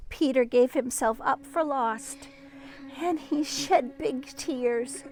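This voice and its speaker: A woman reading a children's story aloud in an expressive, teacherly way, with chatty asides and questions to young listeners. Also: sits high, very slow. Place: outdoors in a garden.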